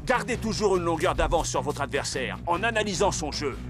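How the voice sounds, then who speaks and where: A man's voice: a little high, expressive, a little fast, music in the background, close to an everyday voice. A man playing a tough, commanding football coach in a French cartoon dub, lecturing firmly. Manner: firm, lecturing, drill-like.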